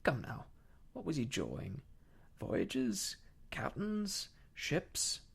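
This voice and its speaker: A man's voice: on the high side, huge pitch swings, measured pace, gravelly, a little mellow, slightly rough, voice pushed up, heavily acted. A man playing a cunning one-legged seafaring landlord in an audiobook, blustering in salty sailor talk, hearty one moment and stern the next. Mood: scornful.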